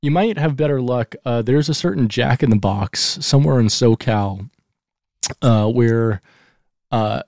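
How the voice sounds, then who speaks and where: A man hosts a casual music podcast, rambling and joking as he steers the talk. His voice sits at a middle pitch and sounds dry and close. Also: a little slow, a little mellow, no echo. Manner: joking, rambling, leads the topics. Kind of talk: casual chat.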